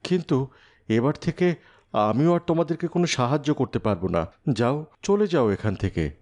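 A middle-pitched, very animated voice of a rich, stingy family man in a cartoon played by a male actor. His delivery is firm and declarative. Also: normal speed, close to an everyday voice. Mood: stern, dismissive. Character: stern, stingy rich uncle and doting father. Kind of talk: Bengali moral cartoon voice acting.

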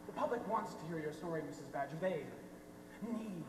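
A young man playing a pushy, flattering newspaper reporter, emphatic and ingratiating, in a high school stage comedy. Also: brisk, lightly acted. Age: young adult. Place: theatre stage, recorded from the audience. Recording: distant echoey hall, faint noise.

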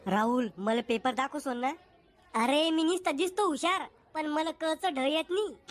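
A cheeky, lazy village schoolboy in a cartoon, as a voice actor plays him. He jokes and boasts in a high voice. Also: moderate pace, close to an everyday voice.

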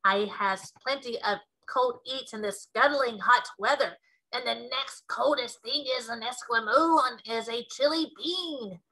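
A woman's voice: a little high, a little slow, bright, forceful tone, poor audio. A woman voicing a greedy, brutish male man-eating giant with a menacing, contemptuous manner in a storybook read-aloud. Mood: gloating.

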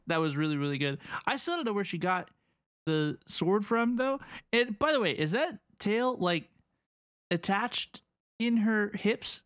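A woman's voice: mid-range voice, lively intonation, unhurried, dry recording. A young woman giving casual, slangy reaction commentary with enthusiasm, repeating words for emphasis into a close microphone. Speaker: reaction video host. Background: video game trailer music.

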